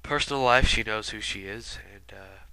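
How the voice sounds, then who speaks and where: A man's voice: on the low side, normal speed, pushed and bright, smooth, dry recording, rough recording. A man with a stuffy nose from being sick, commenting casually and rambling with lots of fillers. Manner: rambling, many fillers and asides. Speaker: video commentator. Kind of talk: casual album retrospective commentary.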